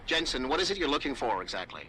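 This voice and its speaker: An actor playing a fussy tech expert briefing an agent in a video game, precise and dry. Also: male voice, medium pitch, big pitch swings, fast talker, sharp tone, a little hiss or hum, poor audio.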